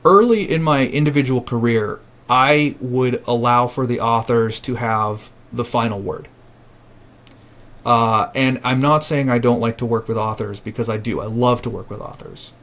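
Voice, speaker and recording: A man in a podcast interview speaks in an earnest, reflective way, his voice sitting at an ordinary middle pitch. He often doubles back and restarts a phrase while he builds toward his point. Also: moderate pace, a bit bright. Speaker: publishing house founder, podcast guest.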